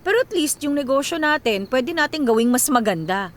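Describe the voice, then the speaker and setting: An actress playing a tired, generous young Filipino breadwinner daughter in a radio drama, with a wry, teasing manner. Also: female voice, high-pitched, lively intonation, fairly quick. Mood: practical. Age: young adult. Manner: teasing, self-deprecating.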